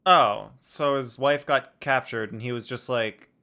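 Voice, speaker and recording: A man's voice: medium pitch, a little slow, creaky, pushed and bright. A young man streaming a game, chatting casually and playfully with viewers and joking as he reacts. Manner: playful, jokey, reads chat aloud.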